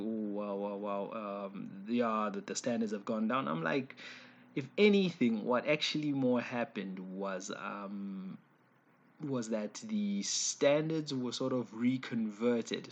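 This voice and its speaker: A man in his forties speaks with a South African accent and a slightly raspy, mid-pitched voice. He delivers informal opinion commentary in a conversational manner. His speech is hesitant, and he often stops to correct or restate himself. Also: highs cut off. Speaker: online commentator. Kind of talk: informal spoken opinion piece.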